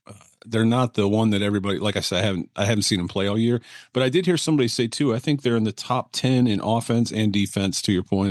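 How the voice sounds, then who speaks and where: A man's voice: on the low side, no room sound, studio quality. A man co-hosting a sports podcast, chatting casually and often restarting his sentences. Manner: halting, many restarts and fillers. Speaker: podcast co-host.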